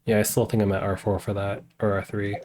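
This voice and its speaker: A man's voice: fairly low pitch, level tone, fast talker, a little mellow, slight background noise. A man who is a game streamer, chatting casually during gameplay. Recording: game music behind the voice. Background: video game music.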